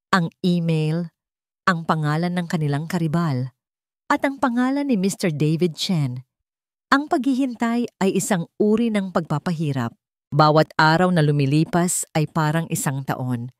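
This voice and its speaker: A woman with a slightly low voice tells a romantic drama as a scripted narrator. The delivery is solemn and dramatic, and the recording is dry and close. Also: a little mellow, no room sound. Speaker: storyteller, narrator.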